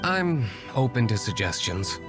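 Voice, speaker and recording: An actor playing an aloof, brooding young nobleman vigilante in a video game, speaking in a cool, formal, measured way over music. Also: medium pitch, big pitch swings. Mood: coolly dismissive.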